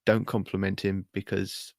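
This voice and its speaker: Low-voiced man, casual podcast co-host. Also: little pitch movement, moderate pace, darkish tone, no room sound, clean studio sound. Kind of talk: casual gaming podcast chat.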